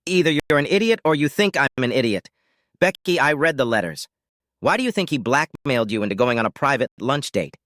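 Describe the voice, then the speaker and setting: A man's voice: mid-range voice, sing-song, fairly quick, a bit bright, no room sound, voice pushed up. A man playing an angry, betrayed husband, confronting and accusing with sarcastic force. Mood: bitter.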